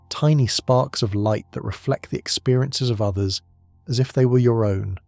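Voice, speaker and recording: Slow, calm man with gravelly fry. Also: medium pitch. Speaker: sleep narrator. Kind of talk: soft-spoken bedtime narration.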